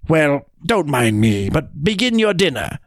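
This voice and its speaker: A man's voice: medium pitch, big pitch swings, measured pace, vocal fry, no room sound, studio quality. A man voicing a sly jackal in a storybook tale who plays muddled and dim, hesitant and plaintive. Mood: flustered, pleading. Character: sly trickster jackal feigning muddled, dithering stupidity. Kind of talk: storybook character reading.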